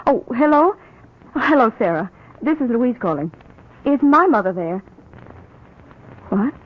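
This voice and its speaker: A woman's voice: fairly high pitch, big pitch swings, unhurried. A woman playing a worried young wife who frets about her absent mother, in 1940s radio acting style on a hissy old radio recording. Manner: bright, fretful, insistent. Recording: muffled old radio recording with faint hiss.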